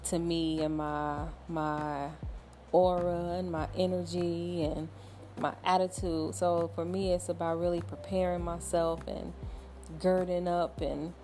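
A woman from Ohio hosting her own podcast at home late at night, talking casually in a soft, smooth voice with lots of fillers and small laughs. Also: fairly low pitch. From Cincinnati, Ohio. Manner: relaxed, chatty, with frequent fillers and soft laughs. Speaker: podcast host. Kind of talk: casual solo podcast talk.

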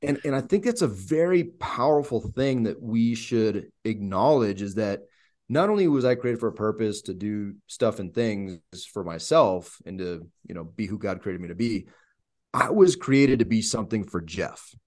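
A man's voice: fairly low pitch, animated, moderate pace, darkish tone. Earnest, reflective male co-host. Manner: reflective, earnest faith talk. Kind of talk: casual podcast conversation.

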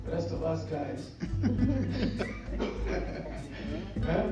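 Kenyan pastor joking with the crowd, super echoey hall, music playing. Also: male voice, fairly high pitch, extremely slow, far from the mic, lo-fi sound. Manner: lively, joking, call-and-response with the congregation. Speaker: preacher. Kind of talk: sermon. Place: church hall.

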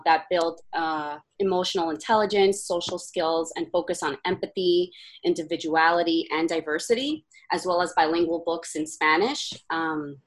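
A woman's voice: on the low side, poor audio. A woman speech-language pathologist answering interview questions hesitantly, with frequent fillers.

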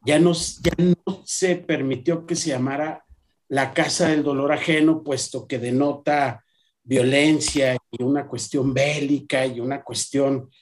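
A man, a professor chatting in an anecdotal way with frequent fillers. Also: fairly high pitch. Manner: lively, anecdotal, many fillers. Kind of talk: conversational discussion.

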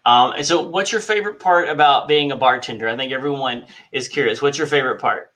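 A man hosting a podcast, asking slow, hesitant questions with fillers. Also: medium pitch, fairly bright tone. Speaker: podcast host. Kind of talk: interview host.

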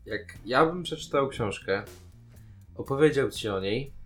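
A man's voice: medium pitch, expressive, a little slow, fairly bright tone, faint music behind. A man co-hosting a casual podcast discussion, talking in an informal, blunt way. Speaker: podcast co-host.

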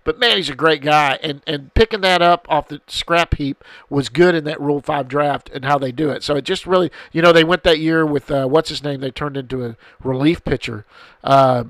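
A man's voice: a little high, moderate pace, a bit bright, no echo. A middle-aged man hosting a podcast, answering listener questions in a rambling, repetitive casual chat, with a stuffed-up head-cold voice. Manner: rambling, repeats himself, frequent restarts. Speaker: podcast host.

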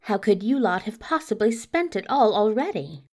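A woman's voice: mid-range voice, very animated, normal speed. A woman playing a weary, bookish prince in a fan audio drama, speaking in a prim, wordy and testy way. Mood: indignant. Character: weary, bookish, put-upon prince who wants his rest.

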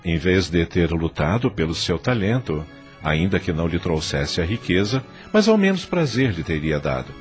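Male Brazilian narrator for radio drama: low, solemn, highly animated intonation, music beneath. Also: normal speed.

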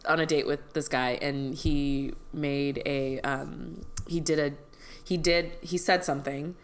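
A woman's voice: low voice, steady tone. A young woman co-hosting a podcast, chatting frankly and laughing often. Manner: quick, laughing, frank banter. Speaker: podcast co-host. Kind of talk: casual chat.

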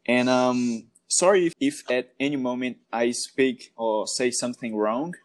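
A man's voice: mid-range voice, a little slow. A Brazilian man, a native Portuguese speaker, hosting a casual podcast interview in careful English with a friendly manner. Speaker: podcast host.

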